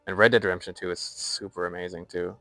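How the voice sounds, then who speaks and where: A man's voice: low voice, flat delivery, fast talker, pushed and bright, dry recording, soft background music. A young American man chatting casually on a live stream while playing a game and reading its lore aloud. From United States.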